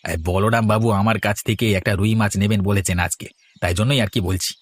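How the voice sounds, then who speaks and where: Man as a low-voiced caring cartoon farmer. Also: steady tone, fairly quick, no room sound, close to an everyday voice. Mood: caring, practical. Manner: gently cautioning, folksy. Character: caring village fish farmer, elder-brother boss. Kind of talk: cartoon dialogue. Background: instrumental music.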